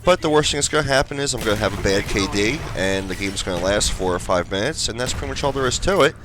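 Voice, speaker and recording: A man's voice: lowish voice, lively intonation, quick pace. A stuffed-up young man who hosts a gaming channel, chatting casually with fillers as he comments over gameplay. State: stuffed up, congested. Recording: game gunfire noise behind.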